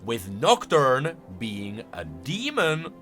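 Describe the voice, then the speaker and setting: Animated, bright-voiced man doing commentary. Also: medium pitch, slow pace, soft background music. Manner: emphatic, conversational. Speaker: video host. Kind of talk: casual explanatory game lore commentary.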